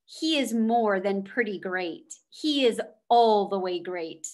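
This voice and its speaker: A woman's voice: medium pitch, animated, slow pace, darkish tone. A woman voicing an earnest, literal-minded young schoolboy in a children's storybook, with precise wording.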